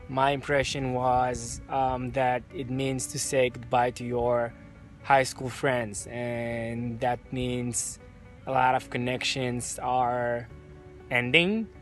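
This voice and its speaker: A young Israeli man speaks slowly and hesitantly, with a flat, even delivery. Faint music plays behind him. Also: medium pitch. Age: 20s. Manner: hesitant, thoughtful.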